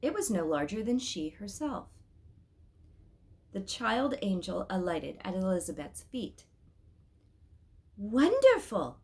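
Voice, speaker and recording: A woman reading a storybook aloud as an expressive narrator. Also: mid-range voice, a little slow. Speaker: storybook reader.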